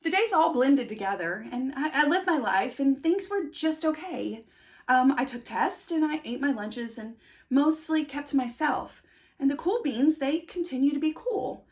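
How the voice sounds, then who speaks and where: Woman as a hesitant, high-voiced, awkward little bean. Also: lively intonation, normal speed. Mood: glum, hesitant. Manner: hesitant, stammering, self-deprecating. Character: awkward, shy, self-doubting uncool bean narrating his story.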